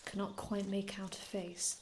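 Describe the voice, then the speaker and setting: A woman playing an aloof, formal male vampire healer from another time in an ASMR roleplay, speaking in a measured, haughty, hushed manner with archaic phrasing. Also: mid-range voice, level tone, slight background noise, lightly acted. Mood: curious, wary. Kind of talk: ASMR roleplay, scripted in-character monologue.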